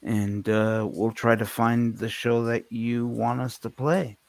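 A man co-hosting a radio show in casual chat, with a halting, apologetic manner. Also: medium pitch, flat delivery, vocal fry, darkish tone, dry recording.

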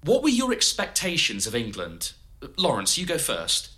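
An actor playing a curious, sympathetic oral-history interviewer, asking questions in a conversational way. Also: male voice, mid-range voice, wildly swooping pitch, normal speed, pushed and bright.

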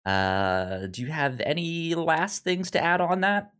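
A man's voice: on the high side, very animated, measured pace, fairly bright tone, clear, resonant tone. A man hosting a podcast in a casual, conversational way, often stammering and repeating syllables as he goes. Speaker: podcast host.

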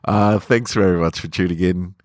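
A man's voice: low-pitched, normal speed, dry recording, studio quality. A man hosting a podcast and reading their own short fiction. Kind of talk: casual podcast introduction, then slow narrated fiction reading.

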